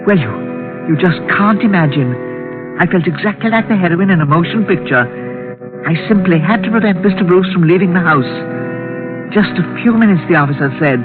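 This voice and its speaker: An actress playing a fussy, anxious older aunt in a vintage radio drama, hesitant and rambling but quick-witted. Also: female voice, lowish voice, lively intonation, lightly acted. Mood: confiding, suspenseful. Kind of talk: 1940s radio drama acting and first-person narration. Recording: tinny old radio recording, music behind.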